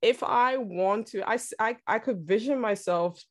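A woman with a Dutch accent, whose first language is Dutch, talking as a guest in a casual interview, reflective and quick to laugh. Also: on the high side. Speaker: podcast guest, entrepreneur.

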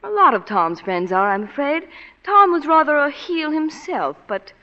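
A coy glamorous young wife. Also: female voice, high-pitched, very animated, moderate pace. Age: young adult. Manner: smooth, teasing, coy. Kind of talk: 1940s radio acting. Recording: dull 1940s radio broadcast.

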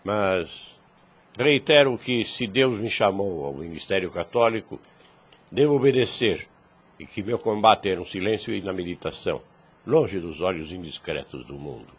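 A man's voice: low voice, animated, normal speed, husky, full character voice. An actor playing a wise, fatherly priest in a radio drama, solemn and preachy, in formal archaic phrasing. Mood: grave, preaching.

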